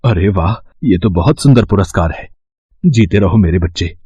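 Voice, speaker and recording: A man's voice: low-pitched, lively intonation, normal speed, warm tone, breathy edge, dry recording, muffled recording, voice pushed down, heavily acted. A man voicing a humble servant father, kindly and encouraging, in a children's moral story. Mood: proud, kindly.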